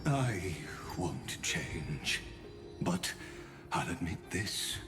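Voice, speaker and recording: An actor playing an ancient, weary mechanical sculptor in a video game, speaking in a measured, theatrical, philosophical way with cool menace. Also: male voice, sits low, sing-song, full character voice. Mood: bitter, resigned. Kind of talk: scripted video game drama acting. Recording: music under the voice.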